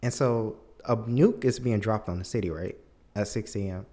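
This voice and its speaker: A man's voice: lowish voice, moderate pace, warm tone. A man giving a casual, opinionated film review as a video commentator, with an emphatic, incredulous, conversational manner.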